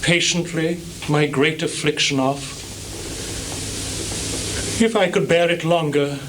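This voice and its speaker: An actor playing a blinded, despairing old nobleman in solemn Shakespearean stage style, on a noisy live theatre recording. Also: fairly high pitch.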